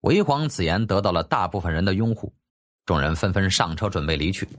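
一位男演播者以戏剧化的方式讲述历史小说，是照稿演播的有声书旁白。. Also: male voice, low-pitched, animated, a little fast. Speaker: audiobook narrator. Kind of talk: dramatic scripted narration of a historical novel.

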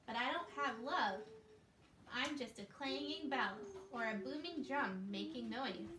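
Woman as children's puppet show host, high voice, encouraging and simple, recorded in an echoey room from a distance. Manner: simple, encouraging talk addressed to small children. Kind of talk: scripted children's storytelling. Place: living room, indoors. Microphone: distant camera. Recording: echoey, noisy room, distant camera microphone.

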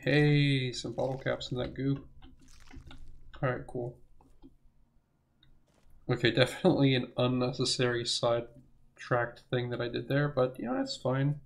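Gravelly young man, hoarse gamer. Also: mid-range voice, unhurried, long pauses. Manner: rambling, self-correcting, laughs to himself. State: losing his voice. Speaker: gaming channel host. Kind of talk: casual let's play commentary.

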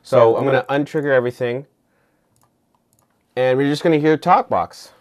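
Young guy walking you through studio gear, informal, mid pitch, keeps checking you follow. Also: moderate pace, slight background noise. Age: young adult. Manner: informal, explanatory, checking in with "all right?". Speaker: tutorial host and musician. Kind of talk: casual hands-on tutorial. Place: small home studio, indoors.

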